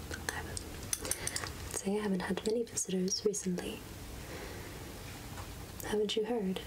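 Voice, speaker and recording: Casting: gentle, scatterbrained witch healer played by a woman, breathy half-whisper, very unhurried, hesitant, ASMR roleplay with audible background noise. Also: mid-range voice, lightly acted. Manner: slow, gentle, hesitant murmuring with repeated phrases. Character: gentle, absent-minded witch healer and potion seller. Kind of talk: soft-spoken ASMR roleplay.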